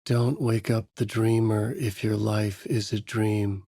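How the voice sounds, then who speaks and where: Husky, low-voiced brooding writer. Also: male voice, little pitch movement, warm tone, no echo, studio quality, full character voice. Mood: grave, haunted. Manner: measured, grave, dramatic delivery. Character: brooding, haunted male writer narrating a horror story. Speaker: voice actor. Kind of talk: scripted noir thriller narration.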